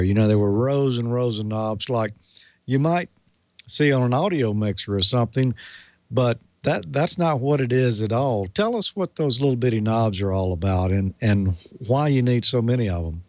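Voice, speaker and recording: A man with a medium-pitched voice co-hosts as an engineer. He talks casually and puts technical questions, recorded dry with little room sound. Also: expressive, normal speed, a little mellow, no echo. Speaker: co-host, engineer.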